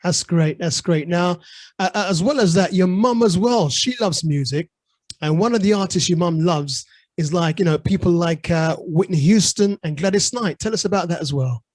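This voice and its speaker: A man's voice: high-pitched, normal speed, darkish tone, dry recording. A man hosting a music interview show, enthusiastic, saying "you know" over and over. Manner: enthusiastic, repeats "you know", says "brilliant". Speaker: radio show host.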